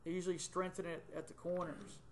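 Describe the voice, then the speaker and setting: A middle-aged man teaching a 3D modeling tutorial on screen, thinking aloud with many ums and quick self-corrections, recorded on a desktop webcam mic in a small room. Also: high-pitched, little pitch movement, slight background noise. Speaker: software tutor.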